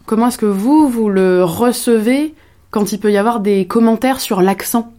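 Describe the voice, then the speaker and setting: A woman hosting a radio interview, asking questions and briskly announcing event dates and places. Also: fairly high pitch, warm tone. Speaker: radio host.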